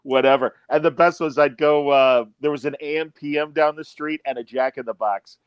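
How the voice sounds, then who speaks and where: A middle-aged man telling lively anecdotes in a casual chat, acting out quotes and laughing often. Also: on the high side, fairly quick, clear, resonant tone, no room sound, lo-fi sound. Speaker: podcast guest.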